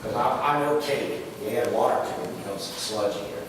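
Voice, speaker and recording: A man's voice: mid-range voice, normal speed. Man, skeptical resident, far from the mic, very echoey hall, room noise. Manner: skeptical questioning. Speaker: resident asking questions. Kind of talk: public hearing Q&A. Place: public meeting hall. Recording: distant, very echoey, noisy hall.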